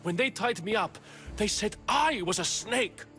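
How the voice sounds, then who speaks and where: An agitated, high-pitched politician. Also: male voice, animated, a little slow, sharp tone, faint music behind, full character voice. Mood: aggrieved. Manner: agitated, theatrical, wordy. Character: frightened, indignant male Athenian politician, dramatic and grateful. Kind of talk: video game voice acting.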